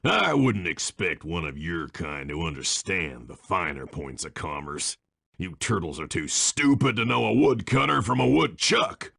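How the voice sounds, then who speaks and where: A man voicing a burly, boastful bison villain in a video game, with gruff, folksy drawling taunts. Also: sits low, animated, unhurried, fairly bright tone, no echo, clean studio sound, full character voice. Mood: menacing, scornful. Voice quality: gruff, gravelly. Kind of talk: video game character voice acting.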